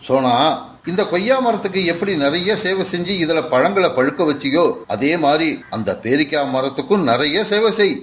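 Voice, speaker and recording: A man's voice: a little high, expressive, moderate pace, fairly bright tone, a little hiss or hum. A man voicing a bullying, scornful male crow in a children's cartoon, with a taunting, bossy manner. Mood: smug, mocking.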